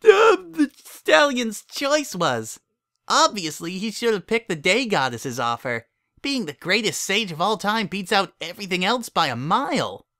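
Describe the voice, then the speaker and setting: Man putting on a high-pitched bratty little filly. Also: big pitch swings, a little slow, bright, forceful tone, dry recording, studio quality, heavily acted. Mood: sleepy, insistent. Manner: argumentative, nitpicking, dismissive. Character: small precocious filly, sleepy, bratty and pedantic.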